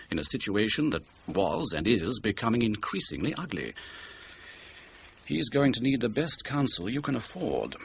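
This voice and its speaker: Articulate lawyer, lively intonation. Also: male voice, fairly low pitch. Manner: measured, articulate, formal. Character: measured, gloomily candid male lawyer. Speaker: actor in a radio drama. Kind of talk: radio crime drama acting. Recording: dull, hissy radio drama recording.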